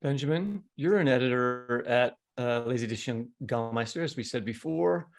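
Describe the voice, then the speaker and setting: Creaky-voiced American man interviewing. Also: medium pitch, lively intonation, normal speed. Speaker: podcast co-host, interviewer. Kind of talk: interview questioning.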